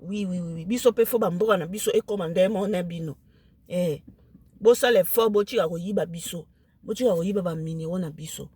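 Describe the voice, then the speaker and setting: A woman with a Lingala accent, a native Lingala speaker, talking casually to camera, emphatic and excited, switching into French. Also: on the low side, a little fast, slightly rough, dry recording. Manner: emphatic, excited, mixes French into her speech.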